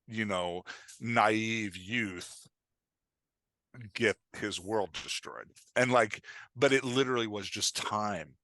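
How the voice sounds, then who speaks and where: Low, bright-voiced man explaining casually. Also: no echo. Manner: informal, halting, filler-heavy, emphatic with check-in questions. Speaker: writing coach, podcast guest. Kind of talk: casual podcast interview, explaining.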